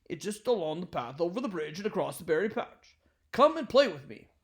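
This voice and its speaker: A man's voice: high-pitched, very animated, brisk. A man voicing a playful, easygoing female otter in a children's storybook, coaxing a friend. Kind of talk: storybook character voice.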